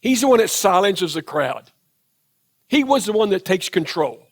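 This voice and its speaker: A man's voice: high-pitched, sing-song, moderate pace. A man with a Southern US accent preaching a sermon in church, reflective and retelling scripture in his own words. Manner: reflective, paraphrases scripture in first person. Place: church sanctuary.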